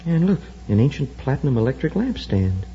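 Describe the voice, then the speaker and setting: Eager young space cadet. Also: male voice, mid-range voice, big pitch swings, brisk, warm tone. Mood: amazed, curious. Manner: boyish, earnest, exclamatory. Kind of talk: 1950s radio adventure serial acting. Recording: muffled, noisy old radio recording with hum.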